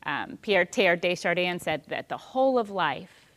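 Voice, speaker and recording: A woman in her thirties shares a reflective spiritual talk, thoughtful and unhurried. Her voice sits somewhat low. She pauses softly as she gathers her thoughts. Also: very animated. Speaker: speaker on prayer and spirituality.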